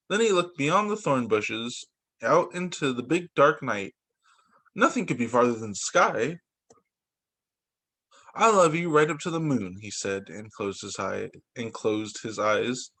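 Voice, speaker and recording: A man reading picture books aloud as a teacher, in an animated, emphatic way meant for young children. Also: medium pitch, a little slow. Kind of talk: expressive children's story read-aloud.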